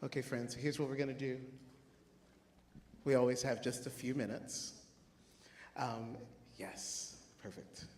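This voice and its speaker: A man in his forties moderating a live panel, upbeat and punchy, with quick one-word affirmations. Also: medium pitch, fairly even intonation, a little mellow, echoey room, long reverb tail, a little hiss or hum. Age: 40s.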